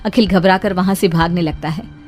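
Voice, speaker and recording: Woman narrator, kinda low voice, dramatic scary cartoon story, music loud behind. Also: steady tone, normal speed.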